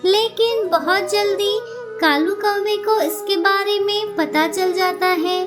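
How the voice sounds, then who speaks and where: Slow, very high-pitched woman narrator. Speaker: story narrator. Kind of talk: scripted children's cartoon story narration. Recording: loud music behind the voice.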